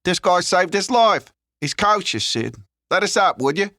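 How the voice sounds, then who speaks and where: A man acts out a young street lad for an audiobook thriller. He is wary but loyal, pitched up high with animated swings, and recorded close and dry. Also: moderate pace, no echo, heavily acted. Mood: cautious, probing.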